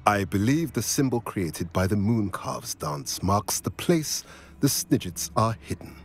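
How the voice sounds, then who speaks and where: An actor voicing a wise, solemn centaur seer in a fantasy video game, speaking in measured, formal, oracular phrases. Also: male voice, on the low side, expressive. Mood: grave, reflective. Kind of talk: scripted fantasy game dialogue.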